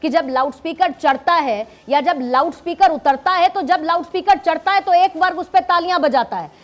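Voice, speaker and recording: A woman's voice: extremely high pitch, normal speed. A woman interviewing on a TV news programme, putting pointed, insistent rhetorical questions.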